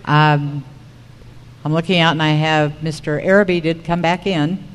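A woman's voice: deep voice, little pitch movement, moderate pace, clean tone. A woman moderating a public candidate forum. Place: public forum room at a school. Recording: reverberant hall, noticeable background noise.

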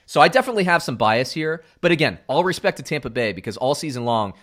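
A middle-aged man from Central Florida co-hosting a casual sports podcast, animated, going from loud outbursts to muttered asides. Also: medium pitch, quick pace. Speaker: podcast co-host.

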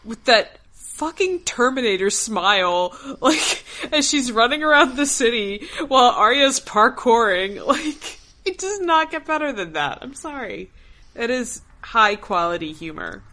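A woman co-hosting a casual podcast, chatty and sarcastic and laughing often, her voice hoarse and lower than usual. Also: very animated, unhurried, sharp tone. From Philadelphia area. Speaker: podcast co-host. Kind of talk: casual chat.

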